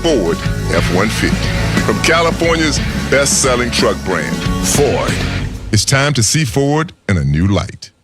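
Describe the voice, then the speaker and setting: A man with a mid-pitched, very lively voice narrates a scripted commercial. Loud music backs him throughout. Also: measured pace.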